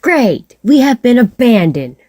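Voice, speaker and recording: A man's voice: extremely high pitch, very animated, a little slow, a little mellow, pitched above the performer's own voice, full character voice. A man voicing a sarcastic, irritable young superhero woman in a fan fiction story. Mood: irritated sarcasm.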